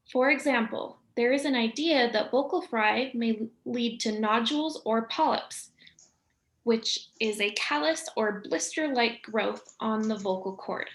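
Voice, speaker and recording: A young woman reads an educational script at an even, informative pace. Her voice sits a little high. The room gives the sound a noticeable echo. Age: young adult. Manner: measured, informative reading. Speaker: student presenter. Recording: roomy echo.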